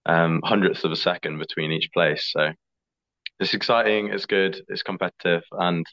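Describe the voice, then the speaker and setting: Deep-voiced young man, relaxed. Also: moderate pace. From England. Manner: relaxed, chatty, fillers like "like" and "sort of". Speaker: skeleton athlete. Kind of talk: casual interview answers. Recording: slightly dull lo-fi audio.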